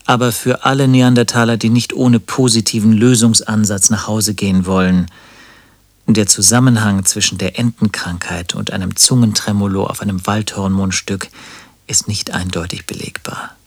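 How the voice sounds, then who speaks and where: An actor playing a wry, melancholic musician in a satirical radio play, speaking with theatrical, ironic lilt. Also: male voice, lowish voice, level tone, normal speed, dry recording. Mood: sardonic. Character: wry, melancholic male musician, mockingly lyrical.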